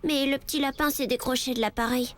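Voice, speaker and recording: A woman's voice: high voice, steady tone, fast, lightly acted. A woman voicing a sweet, hesitant, naive child in a French anime fan dub.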